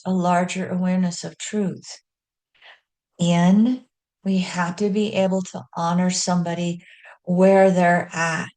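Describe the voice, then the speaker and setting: A middle-aged woman teaching astrology in an earnest, folksy way with self-deprecating asides. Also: medium pitch. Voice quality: loud speaking voice. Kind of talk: teaching astrology talk with slides.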